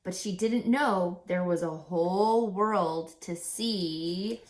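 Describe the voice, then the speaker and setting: A woman reading a picture book aloud like a teacher, deliberate and instructive, with dramatic pauses and questions for young listeners. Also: on the low side, darkish tone.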